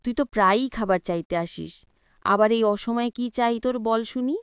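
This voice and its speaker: A woman acts out a village mother from a cartoon tale, patient but able to scold. Her voice is fairly high and clean, recorded very dry. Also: normal speed, clean tone, no echo, natural voice. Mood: suspicious scolding. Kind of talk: Bangla cartoon story dubbing.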